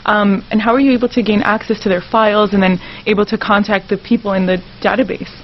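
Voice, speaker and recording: A woman's voice: mid-range voice, fairly even intonation, fast. A woman casually putting questions to a guest as a radio show interviewer. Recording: dull sound, faint noise.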